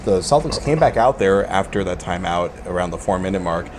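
A man with a fairly low voice hosts a casual sports talk. He sounds enthusiastic and playful. Urban ambient noise is clearly audible behind him. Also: expressive, a little fast. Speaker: sports radio and podcast host. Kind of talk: casual basketball post-game discussion.

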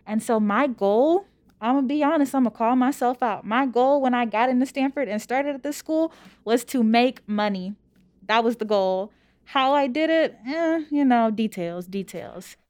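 A college student in her twenties from Louisiana, telling her own story in a casual interview with lively, emphatic rhetorical questions. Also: a little high, moderate pace. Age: 20s.

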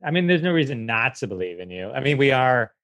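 A man's voice: mid-range voice, sing-song, fast talker, fairly bright tone, no room sound. A man who is a comedian and podcast guest, chatting casually in a wry, self-deprecating way. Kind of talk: casual interview banter.

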